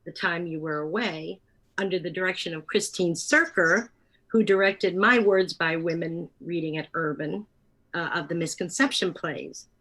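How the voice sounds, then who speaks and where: A woman with a slightly low voice speaks as a theater producer in an interview. She is conversational, sometimes reading from notes, and often pauses or corrects herself as she goes. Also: fairly bright tone, poor audio.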